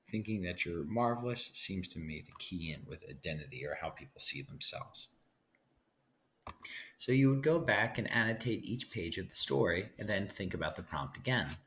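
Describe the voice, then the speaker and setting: A man's voice: deep voice, a bit bright, a little hiss or hum. A man giving an instructional lesson as a teacher, thinking aloud and guiding listeners with frequent check-ins.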